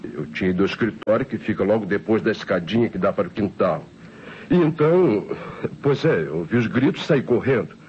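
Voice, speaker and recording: An actor playing a nervous, defensive laboratory assistant with a Brazilian accent in an old radio drama. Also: male voice, fairly low pitch, animated, fairly quick, a little mellow, natural voice. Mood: uneasy explaining. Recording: dull, noisy old radio recording.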